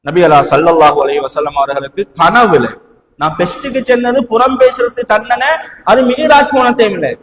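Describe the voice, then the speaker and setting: Male Islamic preacher, Sri Lankan Tamil accent, high voice, emphatic and rhetorical, recorded in a big hall with long reverb. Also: moderate pace, highs cut off. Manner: rhetorical questions, emphatic repetition, swinging between hushed and raised delivery. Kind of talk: impassioned mosque sermon in Tamil with Arabic quotations. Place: large echoing mosque hall. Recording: long hall reverb, noticeable background noise.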